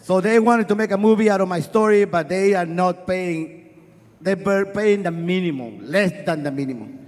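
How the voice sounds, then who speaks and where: A middle-aged man with a Spanish accent and a notably high voice tells a personal story to a live audience. He is earnest and wry, pressing ahead quickly, then slowing down to let a line land. The hall around him rings with a long reverb. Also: darkish tone. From Guatemala. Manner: earnest, wry, speeds up and slows down for effect. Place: festival stage before an audience.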